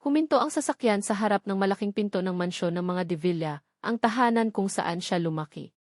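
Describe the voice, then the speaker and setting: A woman's voice: medium pitch, animated, fairly quick, no room sound, clean studio sound. A woman with a Filipino accent narrating a scripted love story in a dramatic storytelling style.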